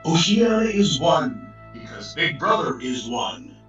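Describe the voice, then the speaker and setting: An actor playing a zealous propaganda voice for a totalitarian party in a radio drama, declaiming in chanted phrases. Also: male voice, fairly high pitch, sing-song, a little slow, fairly bright tone, muffled recording. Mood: fervent. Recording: very echoey, distant, music behind.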